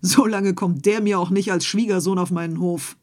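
A woman voicing a stubborn old male farmer in a fairy tale, loud and blustering. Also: on the low side, steady tone, takes it slowly.

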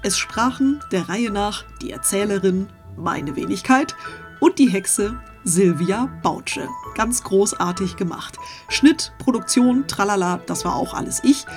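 A woman's voice: mid-range voice, animated, measured pace, faint music behind. A woman hosting her own audio story podcast, reading credits in a chatty, playful, informal way. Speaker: host, author and producer of the audio story. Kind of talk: chatty scripted outro.

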